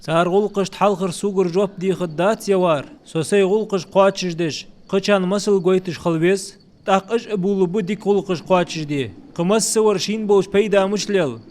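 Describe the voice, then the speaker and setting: A man's voice: high voice, fairly quick, a little mellow, natural voice. A man voicing a male cartoon character in a dub. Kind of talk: cartoon dubbing.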